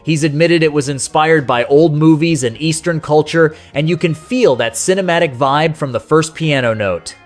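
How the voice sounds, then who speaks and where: A man with a slightly high voice hosts a scripted countdown. He tells it like a colloquial, nostalgic storyteller. Faint music plays behind his narration. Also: normal speed, few pauses. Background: soft rock music behind the voice.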